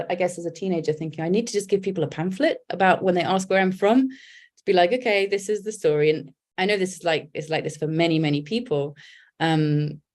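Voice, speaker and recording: A woman talks about her creative work in a relaxed interview. Her voice sits low, and her speech is reflective and candid, with frequent fillers and restarts as she gathers her thoughts. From England. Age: 40s. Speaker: guest, poet and writer.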